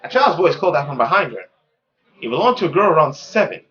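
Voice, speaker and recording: Very animated woman narrating a visual novel. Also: sits low, quick pace, lo-fi sound. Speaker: gaming host reading a visual novel aloud. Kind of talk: let's play narration with casual asides.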